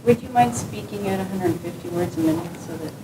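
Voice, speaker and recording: A woman's voice: medium pitch, fairly quick. A woman who teaches, asking questions in an inquisitive way from the audience. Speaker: audience member, instructor. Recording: roomy, distant, noisy.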